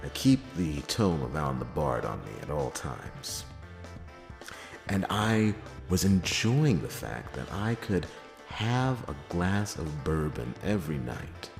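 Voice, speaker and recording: A man's voice: a bass voice, gravelly, heavily acted. A man playing a world-weary, reluctant private detective in a hesitant, dramatic noir roleplay monologue. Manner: hesitant, drawn-out phrasing with pauses and sighing chuckles. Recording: echoey long reverb, music behind.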